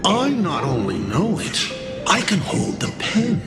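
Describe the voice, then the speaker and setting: Game villain: smug, playful trickster, theatrical and teasing, lively intonation, echoey with loud backing music. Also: male voice, on the high side, full character voice. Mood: gleeful scheming. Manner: theatrical, teasing, drawn-out dramatic delivery. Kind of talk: dramatic video game voice acting.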